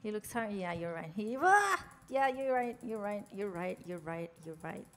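A woman's voice: mid-range voice, sing-song, moderate pace, fairly bright tone. Chatty Norwegian woman streaming a game. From Norway. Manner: chatty, jokey, chatting with chat while playing. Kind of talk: playful in-character game commentary.

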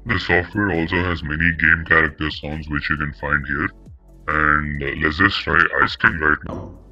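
Man as deep, forceful mature male, AI voice-changer processing, husky, dull lo-fi sound. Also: steady tone, moderate pace, faint music behind, exaggerated voice. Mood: demonstrating. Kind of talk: software demonstration.

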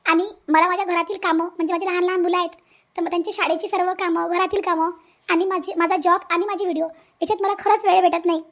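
A woman with a very high voice talks quickly and directly to her audience. She is a channel creator, explaining herself and pleading for support. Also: little pitch movement. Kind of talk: direct appeal to viewers.

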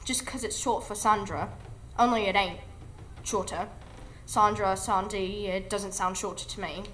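A woman's voice: medium pitch, steady tone, a little slow, a bit bright, a little hiss or hum, lightly acted, low-quality recording. A woman playing a cheeky, chatty working-class London girl in a radio drama. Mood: chatty, artless. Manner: rambling, artless, colloquial. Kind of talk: live radio drama acting. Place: live stage before an audience.

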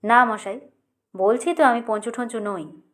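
Woman voicing a middle-class man, storybook, irritable, harried, curt, higher pitch. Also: unhurried, fairly bright tone. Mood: irritated. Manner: curt, annoyed denials.

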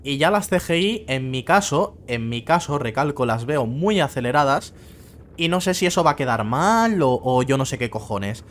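A man's voice: medium pitch, lively intonation, moderate pace. A man casually commenting on a game he is playing, sometimes reading game lines aloud in voices. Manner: chatty, reads game text aloud in voices. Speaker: let's play host. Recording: faint game music behind.

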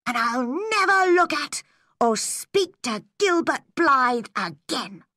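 Woman acting spirited, high-pitched young girl. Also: lively intonation, slow, bright, forceful tone, dry recording, studio quality, full character voice. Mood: bitter. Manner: emotional, emphatic exclamations. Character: spirited, proud, quick-tempered young girl.

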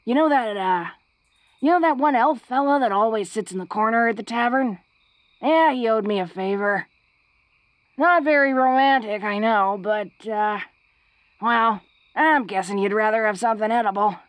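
A woman's voice: fairly high pitch, moderate pace, dry recording, close to an everyday voice, low-quality recording. A woman playing a tough, flirty, secretly awkward female orc in an intimate romantic audio roleplay, speaking in a hesitant, folksy, teasing way. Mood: bashful, affectionate.

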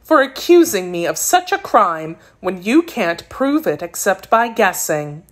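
A woman's voice: mid-range voice, sing-song, a little slow. Woman as a surly, defiant storybook kitten. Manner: curt, snarly. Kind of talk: children's storybook reading.

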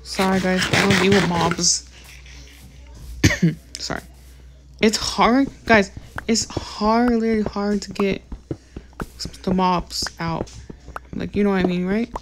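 A woman's voice: medium pitch, steady tone, slow pace, long pauses, noisy background. A woman talking casually over her gameplay as a YouTuber, rambling and apologizing often, with many fillers. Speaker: gaming YouTuber.

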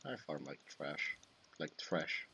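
Romanian-accented young man, low voice. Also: animated, slow, gravelly, bright, forceful tone, breathy edge. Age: young adult. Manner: swears and exclaims at misplays. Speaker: gaming YouTuber. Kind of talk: live commentary over own gameplay. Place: small home room.

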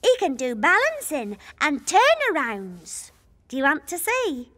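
A woman's voice: high voice, wildly swooping pitch, unhurried, a bit bright, exaggerated voice. A woman voicing a childlike, eager and headstrong little princess in a cartoon. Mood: proud, playful. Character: excitable, headstrong little princess, a young girl.

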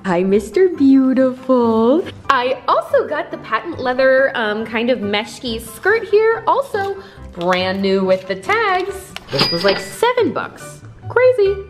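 A woman's voice: sits high, sing-song, slow pace, faint music behind. A young woman vlogging casually about shopping, playful and exclamatory with theatrical asides, talking to a handheld camera. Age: young adult. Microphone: handheld camera at arm's length.

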